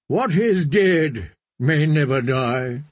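A stern, zealous prophet of a sea god, preaching slowly and with weight, as a man puts him on. His voice sits a little raised, husky around the edges, and swings widely in pitch as he calls out. The sound is close and dry. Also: expressive, dry recording, dull audio. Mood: solemn. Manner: solemn, preaching, measured.

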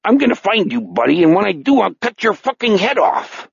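A man voicing a vicious, crude old serial killer with a Chicago accent, sneering and taunting in a rough, strained voice. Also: high-pitched, sing-song, a little fast, dry recording, highs cut off, voice pushed up, heavily acted. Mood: menacing taunts.

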